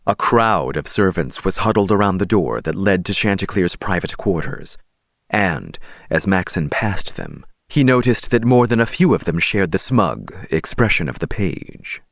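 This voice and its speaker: A man narrating an audiobook as scripted dramatic storytelling, measured and expressive. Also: deep voice, no room sound, clean studio sound.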